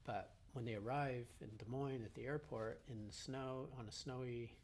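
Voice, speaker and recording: A man with a middle-pitched voice speaks thoughtfully and at a measured pace in an interview. Faint ambient noise sits behind him. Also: steady tone. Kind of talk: interview talk.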